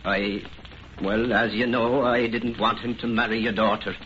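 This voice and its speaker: A man's voice: on the low side, flat delivery, moderate pace, fairly bright tone, a little hiss or hum, natural voice. Man playing a grave Irish father, muffled old radio. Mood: earnest. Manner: grave, earnest. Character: stern, remorseful older Irish father, proud but pleading. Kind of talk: old-time radio drama acting.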